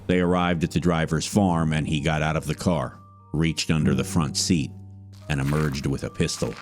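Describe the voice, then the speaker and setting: A man with an extremely deep voice narrates a true-crime podcast. His delivery is measured and dramatic, like a storyteller building suspense, with music clearly playing underneath. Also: steady tone. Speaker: narrator, voice actor. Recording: music bed behind narration.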